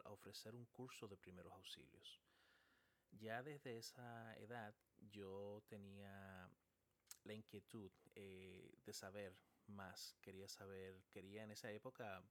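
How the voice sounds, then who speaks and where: A man, a paramedic hosting his own podcast in a casual monologue with frequent "eh" fillers. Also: on the low side. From Chile, raised in Dominican Republic.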